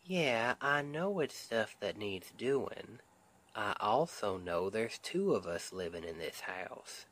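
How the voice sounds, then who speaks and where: A man's voice: lowish voice, sing-song, a bit bright, exaggerated voice. A man playing a sweet, protective Southern husband with a Southern US accent, speaking in a folksy, soothing way in an intimate ASMR roleplay. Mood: concerned, gentle. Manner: folksy, tender, unhurried, soothing.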